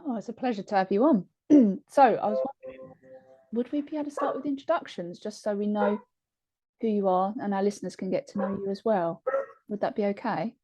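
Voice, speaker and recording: A woman co-hosting a podcast, her tone mellow and soft. She fires off questions to the guests in a quick, eager rush. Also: medium pitch, low-quality recording. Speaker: podcast co-host.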